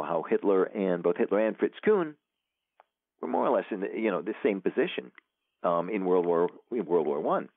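Low-voiced man on a tinny phone line. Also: no room sound. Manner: rambling, many fillers and restarts. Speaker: author, interview guest. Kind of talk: casual interview talk.

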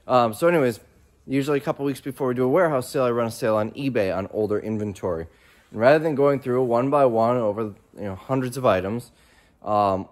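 A man's voice: medium pitch, a bit bright. A man in his thirties chatting casually as a reseller vlogger, rambling and thinking aloud into a phone held at arm's length indoors. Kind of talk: casual vlog chat. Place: large warehouse and storage room, indoors.

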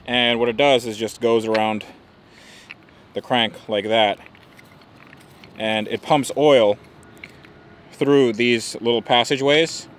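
A man in his thirties talks through engine parts and a sponsor read with easy, upbeat energy. His pitch sits mid-range. A faint noise runs under the recording. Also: steady tone. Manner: upbeat, joking, quick sponsor delivery. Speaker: car restoration youtuber. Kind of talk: casual shop vlog and sponsor read. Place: indoor room for sponsor segment, garage lot outdoors elsewhere.

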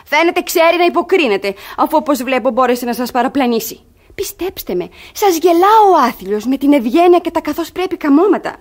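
High-voiced crafty young ward, theatrical. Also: female voice. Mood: indignant, sly. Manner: theatrical, emphatic, artfully expressive. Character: crafty young ward feigning wounded virtue, coaxing and indignant. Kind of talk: Greek radio theatre comedy acting.

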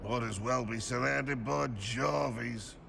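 Video game gargoyle: gravelly, mid-pitched, sarcastic stone grump, endlessly disdainful, with music underneath. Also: male voice, slow, background noise. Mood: scornful. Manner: sardonic, disdainful, complaining. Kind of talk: video game character voice acting.

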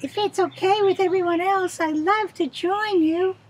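Storybook orphan girl: very high, tiny, childlike, earnest, eager to please. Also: steady tone, moderate pace, slight background noise. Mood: hopeful.

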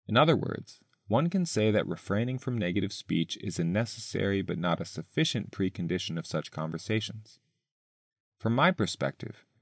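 Male article reader, fairly deep, careful scripted delivery, dry studio-style sound. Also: dry recording. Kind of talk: scripted narration, reading an essay aloud.

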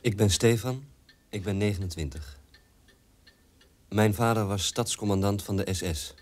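An actor playing a sombre twenty-nine-year-old son of an SS commander in a radio drama monologue. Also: male voice, fairly low pitch, normal speed, darkish tone, lightly acted. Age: 20s.

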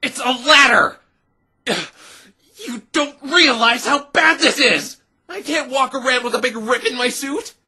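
A man's voice: extremely high pitch, unhurried, sharp tone, slightly rough, full character voice. A man playing an easily flustered, exasperated young lawyer in a cartoon, with a dry, stammering manner. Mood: panicked, exasperated.

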